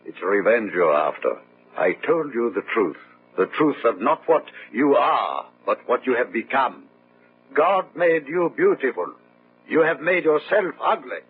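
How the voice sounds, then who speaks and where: An actor playing a grieving, righteous widower in a radio drama, solemn and oratorical like a preacher. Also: male voice, medium pitch, sing-song, unhurried, slight background noise. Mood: impassioned, solemn. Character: grieving, righteous widower passionately rebuking his master. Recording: narrow-band old radio recording.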